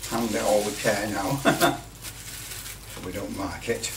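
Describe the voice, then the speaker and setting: A man giving a casual hands-on commentary as a hobbyist, halting and muttering a little to himself as he works. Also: fairly low pitch, creaky. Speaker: hobbyist presenter. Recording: roomy, distant mic with light noise.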